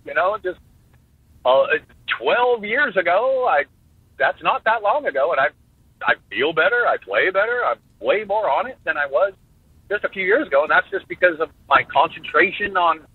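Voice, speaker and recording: A man in his fifties talks in an animated, joking way, often restarting his sentences. His voice sits high and swoops around, with a husky edge. It comes through a tinny, phone-like line with no room sound. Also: dry recording. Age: 50s. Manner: animated, halting restarts, jokey. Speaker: guest drummer. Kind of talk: casual interview talk.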